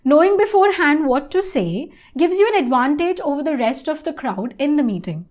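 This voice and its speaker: A woman presenting an online English lesson in a scripted, instructional style, with deliberate and emphatic phrasing. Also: high-pitched, animated, darkish tone.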